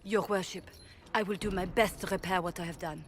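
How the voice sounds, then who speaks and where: A woman's voice: on the low side, steady tone, fairly quick, slight background noise, close to an everyday voice. An actress playing a proud, dignified mistress of a town, pleading her case pointedly in a video game. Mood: humbled. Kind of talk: video game dramatic acting.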